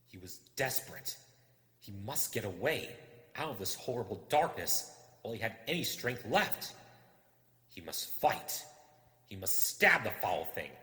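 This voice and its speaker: A man's voice: on the low side, bright, forceful tone. A man reading a story aloud as dramatic, suspenseful audiobook narration with a theatrical delivery. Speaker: audiobook reader. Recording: echoey, long reverb.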